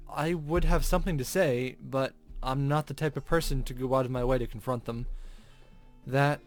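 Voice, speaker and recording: A man with a mid-pitched voice hosts a visual novel playthrough. He reads first-person story text expressively and comments casually, over soft guitar music. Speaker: gaming video host.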